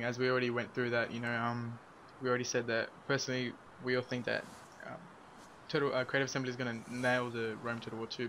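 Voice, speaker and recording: A man's voice: mid-range voice, a bit bright. Laid-back man hosting over noisy audio. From Australia. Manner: laid-back, filler-heavy, laughs often. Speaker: podcast host. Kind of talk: casual group chat.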